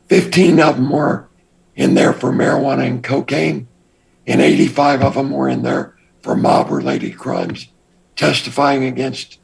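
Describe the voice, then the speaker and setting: An older man with a raspy voice, a guest telling his past story in a measured, deliberate way. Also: mid-range voice, low-quality recording. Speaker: interview guest. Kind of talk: recounting his past in an interview.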